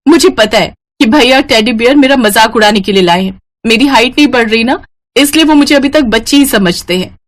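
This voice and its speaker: A woman's voice: fairly high pitch, a little fast, lightly acted. A woman voicing a sulky, mocking young sister-in-law in a family storybook tale. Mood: sulky, resentful. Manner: complaining, mocking.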